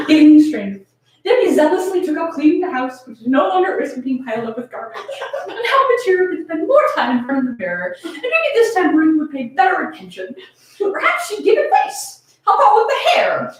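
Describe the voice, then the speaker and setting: An American woman with a giggly, playful manner, chatting and reading aloud dramatically. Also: high-pitched. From United States. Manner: giggly, playful, foul-mouthed. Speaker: co-host of a fan fiction reading. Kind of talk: casual chat and dramatic comic reading. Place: echoey room. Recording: echoey room, distant from the mic.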